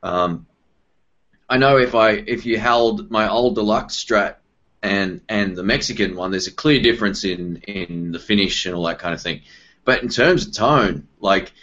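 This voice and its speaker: A man with a husky voice in casual chat about guitars. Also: on the low side, moderate pace, fairly bright tone, muffled recording.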